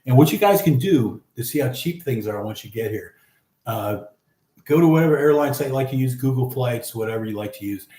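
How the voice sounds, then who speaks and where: Brief: chatty man in his 50s, livestream host, mid pitch, roomy echo. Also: animated, fairly quick, mellow. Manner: easygoing, chatty, many fillers. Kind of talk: casual live chat, reading viewer comments. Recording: echoey room.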